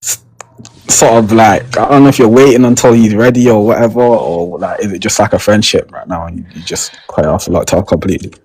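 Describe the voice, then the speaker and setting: A man asking questions in a casual chat, hesitant and halting. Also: on the low side, steady tone, darkish tone, husky, no echo, poor audio. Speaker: guest.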